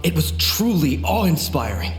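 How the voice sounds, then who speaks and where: A man's voice: on the high side, very animated, normal speed. A man playing an earnest, dutiful samurai soldier in a video game. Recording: roomy echo, game music behind.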